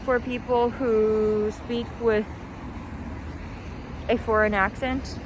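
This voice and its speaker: A woman's voice: on the high side, level tone, slow pace. A young woman chatting casually as she walks, self-deprecating, wandering off on tangents and trailing off. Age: young adult. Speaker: vlogger. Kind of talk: casual rambling vlog while walking. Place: outdoors on a walk in a city. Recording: noisy outdoor recording with traffic.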